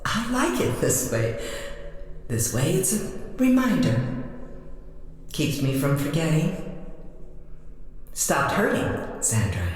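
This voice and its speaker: Woman voicing a wronged sister, slow drawn-out lines, lively pitch, heavy echo. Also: heavily acted. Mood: quiet, resigned. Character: bitter wronged older sister. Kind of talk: audio drama acting. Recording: echoey, long reverb.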